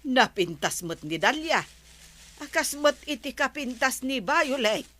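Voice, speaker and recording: A woman's voice: sits high, big pitch swings, unhurried, a bit bright, heavily acted. A woman playing an eager, matchmaking village wife in a comedy radio drama, with an Ilocano accent. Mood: eager, gossipy.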